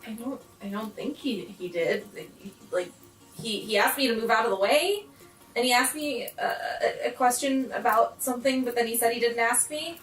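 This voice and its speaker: Roomy, distant recording of a woman playing a nervous schoolgirl in a tabletop game. She is kind and hesitant, stammering in a fairly high voice. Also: moderate pace, fairly bright tone, soft background music, natural voice. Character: nervous, kind-hearted schoolgirl, anxious to reassure.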